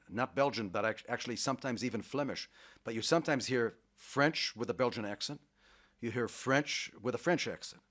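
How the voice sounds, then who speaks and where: A man's voice: mid-range voice, fairly bright tone. A middle-aged man, a university vice president, talking enthusiastically and at length in a radio interview, with the odd restart. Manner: enthusiastic, talkative, sports metaphors, occasional restarts.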